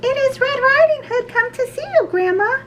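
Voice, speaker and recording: Very high-pitched innocent little girl. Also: expressive, normal speed, noisy background. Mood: cheerful. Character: little girl, innocent, sweet and wide-eyed.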